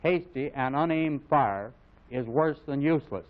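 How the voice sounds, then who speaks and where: A man with an American accent narrates an old military training film. His delivery is formal and authoritative, reading each instruction in a measured, scripted way. The sound is very narrow and tinny, like a vintage film soundtrack, with his voice at an ordinary male pitch. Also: animated.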